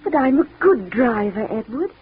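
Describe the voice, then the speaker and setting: An actress playing an eager, gentle, adoring younger sister in a 1940s radio drama, heard on a tinny old recording. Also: female voice, a little high, big pitch swings, normal speed, slight background noise. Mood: pleading.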